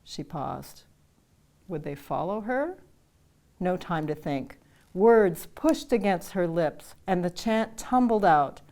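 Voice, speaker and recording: An older woman reading a children's picture book aloud, slow and measured, chanting the story's calls and repeated lines. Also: on the low side, expressive, dark tone. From Canada. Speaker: storytime reader.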